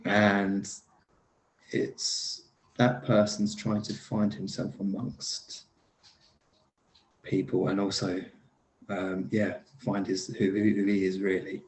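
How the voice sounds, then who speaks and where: A man's voice: deep voice, flat delivery, takes it slowly, long pauses. A man being interviewed about his acting work, talking casually with many fillers, restarts and easy laughs. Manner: laughs easily. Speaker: lead actor guest. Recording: echoey room.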